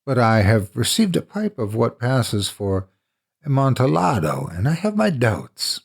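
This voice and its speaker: A man playing a cunning, vengeful gentleman in a gothic horror story, speaking with deliberate, flattering courtesy. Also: medium pitch, vocal fry, husky, full character voice. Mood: smooth flattery. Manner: flattering, deliberate, falsely reluctant.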